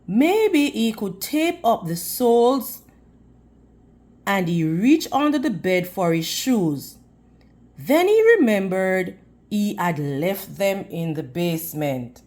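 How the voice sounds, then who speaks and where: A woman reading a storybook aloud in an expressive, teacherly way, putting questions to young listeners. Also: medium pitch. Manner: deliberate, teacherly, addressing children. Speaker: children's read-aloud storyteller.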